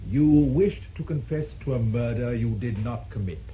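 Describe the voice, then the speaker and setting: An actor playing a formal, relentless prosecutor cross-examining a witness in an old-time radio drama. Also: male voice, medium pitch, moderate pace, mellow, lightly acted. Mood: probing. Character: probing, relentless male prosecutor in a courtroom. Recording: muffled, noisy vintage radio recording.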